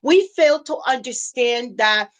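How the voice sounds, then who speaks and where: Woman business coach, middle-aged, speaks English with slips; fairly high voice, emphatic and repetitive motivational delivery. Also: animated, unhurried. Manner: earnest, emphatic, repeats phrases for stress; non-native English with small grammar slips. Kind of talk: motivational teaching talk.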